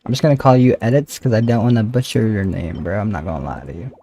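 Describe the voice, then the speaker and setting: Casual gravelly man chatting on a stream. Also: lowish voice, fast talker, dark tone, slight background noise. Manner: chummy, slangy, addresses viewers as bro and yo. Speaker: livestreamer. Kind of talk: casual game stream chat.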